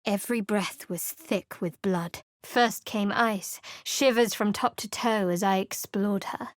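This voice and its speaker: A woman's voice: mid-range voice, measured pace, dry recording, lightly acted. An actress playing a guarded, sardonic young woman cleric in a video game, speaking dryly and wryly. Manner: dry, wry and guarded. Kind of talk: video game character voice lines. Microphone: close studio mic.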